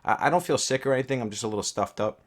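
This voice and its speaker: A man's voice: mid-range voice, racing. A young man with a stuffed-up, scratchy voice, talking casually through a game guide with earnest, rambling asides and many fillers. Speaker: gaming YouTuber.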